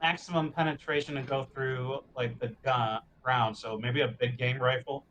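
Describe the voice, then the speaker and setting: A man with a medium-pitched voice talks casually as one of the players in a tabletop game. A faint layer of noise runs behind his speech. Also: fairly even intonation, moderate pace, a bit bright, highs cut off.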